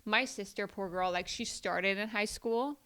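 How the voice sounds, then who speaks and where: A woman's voice: mid-range voice, a little slow, bright, forceful tone. A woman who grew up speaking Farsi, a podcast guest telling stories in casual chat, with many "like" fillers. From Iran. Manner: chatty storytelling, many "like" fillers.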